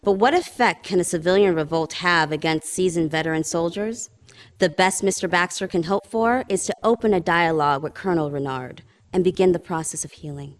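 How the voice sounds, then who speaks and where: Game newscast role: authoritative anchor, woman actor, lower register, formal, emphatic reading of scripted news. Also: brisk, close to an everyday voice. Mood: serious, urgent.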